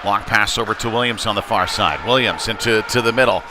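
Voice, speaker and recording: A man giving live play-by-play commentary on ice hockey in a halting way, with frequent pauses. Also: lowish voice. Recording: noisy live rink broadcast.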